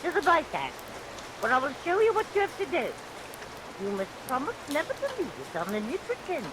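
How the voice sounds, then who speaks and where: A woman's voice: high-pitched, a little fast. A woman playing a haughty, sinister employer in a vintage radio melodrama, speaking with formal, imperious and ominously polite menace. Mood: coolly menacing. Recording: vintage radio recording, steady rain noise.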